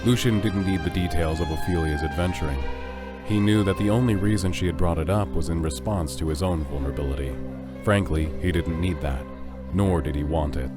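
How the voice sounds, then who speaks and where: A man narrates a fantasy audio drama from a script. His voice is very low. Music plays clearly underneath the narration.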